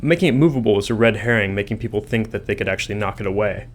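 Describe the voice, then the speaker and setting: A man's voice: on the low side, racing, slight background noise. A man who works as a game developer, reading scripted commentary on design.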